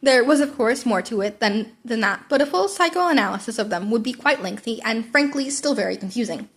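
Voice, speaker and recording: Female narrator for informal fan fiction podcast, slightly high-pitched reading voice. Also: animated, brisk, slightly rough. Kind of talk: story narration, informal podfic.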